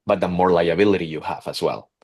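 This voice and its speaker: A man in his twenties with a heavy Peruvian accent, talking casually as a guest, halting with many fillers and restarts. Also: low voice, darkish tone. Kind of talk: casual interview talk.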